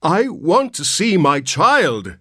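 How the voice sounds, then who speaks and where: A man voicing a nervous, frantic Southern gentleman awaiting news of his newborn, in a high, panicky, pleading voice. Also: wildly swooping pitch, moderate pace, dry recording, clean studio sound. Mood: desperate.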